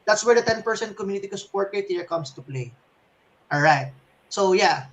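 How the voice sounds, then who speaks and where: A man's voice: high voice, lively intonation, a little fast. A man speaking casually and hesitantly as a game developer answering questions in a live session. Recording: faintly noisy livestream audio.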